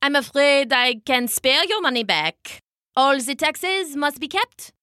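A woman voicing a greedy old king who hoards gold, grumbling and drawling slowly. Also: high-pitched, expressive, pushed and bright, dry recording, studio quality, pitched above the performer's own voice, heavily acted.

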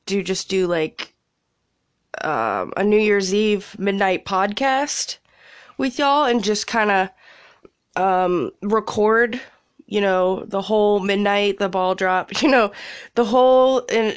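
A woman's voice: mid-range voice, clean tone, no room sound, clean studio sound. A young woman with a congested cold, rambling casually as a podcast host from a small closet, laughing and swearing freely. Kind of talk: casual solo chat.

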